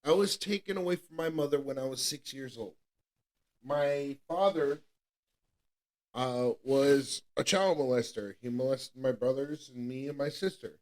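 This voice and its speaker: A man's voice: mid-range voice, a little slow, a little mellow. A man in his thirties giving a candid personal testimony as a podcast co-host, earnest and repetitive, often restarting his sentences. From New England. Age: 30s.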